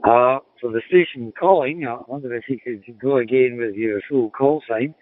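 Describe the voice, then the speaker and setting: A man's voice: mid-range voice, moderate pace. Scottish man on a tinny radio link. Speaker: amateur radio operator. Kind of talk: casual radio contact. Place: in a car. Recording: tinny, narrow-band digital radio link.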